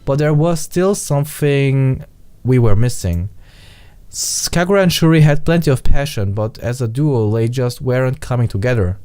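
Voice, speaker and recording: A man with a German accent, whose first language is German, reading a visual novel aloud and adding his own remarks, careful and sometimes halting. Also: mid-range voice, expressive, mellow, smooth.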